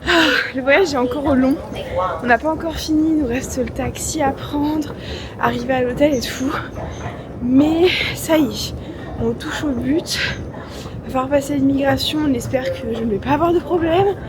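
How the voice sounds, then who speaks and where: A woman's voice: high voice, normal speed, a crowd in the background. A young woman travel vlogger chatting casually to camera in an airport, joking and slangy, tired from the trip, with lots of fillers. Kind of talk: casual vlog chat to camera. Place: airport, noisy public areas. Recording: noisy ambience behind.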